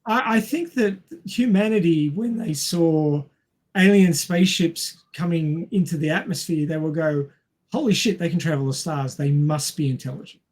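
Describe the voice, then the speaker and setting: Blunt man with a husky voice. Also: a little high, normal speed, darkish tone. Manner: blunt, contrarian, acts out imagined quotes. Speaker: podcast co-host. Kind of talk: casual debate.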